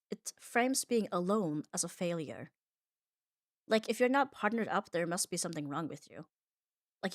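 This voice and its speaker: A woman's voice: fairly low pitch, no echo, clean studio sound. A woman delivering a scripted comfort ASMR monologue in an earnest, reflective manner, leaning on short emphatic phrases. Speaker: ASMR audio performer.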